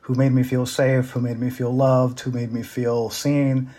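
A middle-aged man from the Dominican Republic with a New York accent, telling his own story in an interview, halting and restarting as he searches for words. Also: mid-range voice, flat delivery, dark tone. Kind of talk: reflective personal storytelling.

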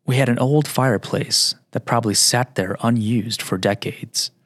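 A man hosting a radio show, narrating in a slow, measured, reflective way; his first language is Mandarin. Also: mid-range voice, no echo, clean studio sound.

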